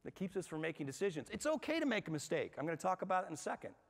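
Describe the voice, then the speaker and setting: Energetic man lecturing in a reverberant hall. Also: high-pitched, rapid-fire. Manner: energetic and conversational, asks the audience questions. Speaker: speaker at a management workshop. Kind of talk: live seminar talk to an audience. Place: large hall with an audience.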